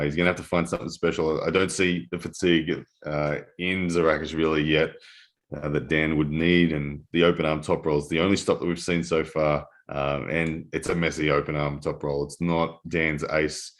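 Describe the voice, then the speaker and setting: A man with a very low, deep voice calls a live arm-wrestling match, breaking down grip and technique between restarts. His voice is worn and hoarse from the early hour. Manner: analytical, with frequent restarts. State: losing his voice, early morning. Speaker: armwrestling commentator. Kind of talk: live sports commentary.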